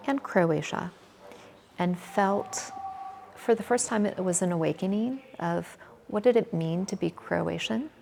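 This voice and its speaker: An American woman in her forties from Michigan, answering interview questions in a measured, thoughtful way with frequent pauses. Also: lowish voice, creaky, a little mellow, slight background noise. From Michigan, USA. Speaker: interview guest, volunteer. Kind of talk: conversational interview.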